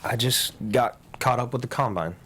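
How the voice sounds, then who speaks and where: A man acting in a table read as a befuddled, irritable young small-town farm man, halting and stammering. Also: mid-range voice, a bit bright, lightly acted. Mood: guarded. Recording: clear background noise.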